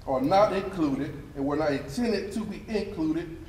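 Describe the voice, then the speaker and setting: A man's voice: on the high side, animated, normal speed, a little mellow, a little hiss or hum, lightly acted. A man playing a pompous, coldly dismissive 19th-century chief justice, declaiming emphatically in a readers theatre stage performance. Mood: imperious. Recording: echoey hall, distant mic, audience responses.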